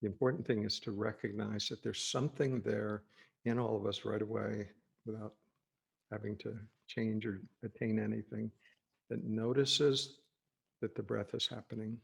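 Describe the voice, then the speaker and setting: Male meditation teacher, creaky low voice, gentle halting delivery, reassuring instruction. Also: level tone. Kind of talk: guided meditation, unscripted spoken instruction.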